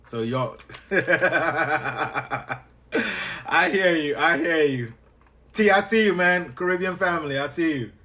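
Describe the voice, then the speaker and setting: A man with a Trinidadian accent, a business coach teaching on a live stream and chatting back to viewers with quick repeated acknowledgements. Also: on the high side, bright, forceful tone. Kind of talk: live-stream teaching while answering chat.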